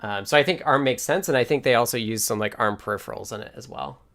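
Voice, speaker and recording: Male livestream host, developer type, mid pitch, casual technical chat, thinks out loud. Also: fast, creaky. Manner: informal, thinks aloud while answering viewer questions.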